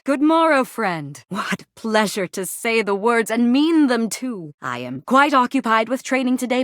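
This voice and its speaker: A woman voicing a proud, fervent warrior woman in a video game, theatrical and declamatory, in archaic high-flown speech. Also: no echo, studio quality. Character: proud, fervent female warrior, grandiose and lovestruck. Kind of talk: theatrical game character acting in archaic English.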